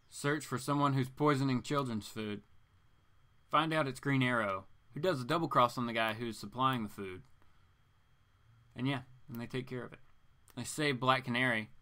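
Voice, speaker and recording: Bright-voiced man chatting casually. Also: mid-range voice. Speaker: comics channel host. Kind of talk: casual spoken story summary.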